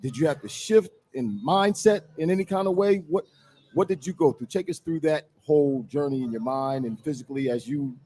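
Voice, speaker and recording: A man's voice: on the high side, a little fast, warm tone. A man on a sports show panel, asking an athlete questions in casual, chummy talk.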